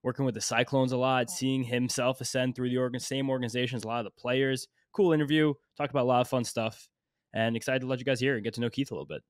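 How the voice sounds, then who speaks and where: A man with a medium-pitched voice chats casually as a podcast co-host. He talks very fast, and the sound is dry with no room around it. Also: fairly even intonation, clean tone, dry recording.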